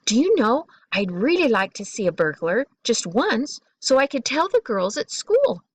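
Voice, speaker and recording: Woman as a bold, lively storybook big sister. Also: on the high side, normal speed, breathy edge, no echo. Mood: bold, eager. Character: bold, curious young girl, protective big sister. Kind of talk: storybook read-aloud.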